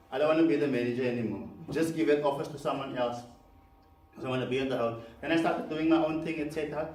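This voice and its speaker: South African man teaching a roomful of people. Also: a little high. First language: Afrikaans. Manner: conversational, many fillers and restarts, asks the audience questions. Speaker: presenter. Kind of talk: live seminar talk to a room. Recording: echoey room, mic far off, faint background noise.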